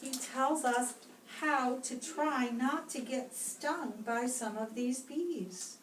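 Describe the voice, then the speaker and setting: Lady reading a kids book out loud, kinda high voice, expressive w pauses, far from the mic w noise behind. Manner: expressive, deliberate phrasing with pauses for young listeners. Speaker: teacher reading aloud to children. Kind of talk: children's picture book read-aloud. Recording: roomy, distant microphone, clear background noise.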